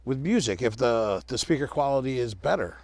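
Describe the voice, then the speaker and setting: A man's voice: medium pitch, lively intonation, brisk, darkish tone, no echo. A man chatting casually with a riding partner outdoors on a trail ride.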